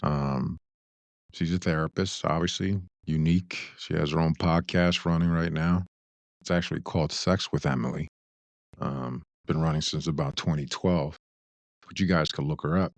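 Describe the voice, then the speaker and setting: A man with a very deep voice talks as a podcast co-host. His manner is reflective and casual, laying out advice through rhetorical questions. The sound is dry and close. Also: fairly even intonation, normal speed, dry recording. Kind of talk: casual discussion of relationship advice.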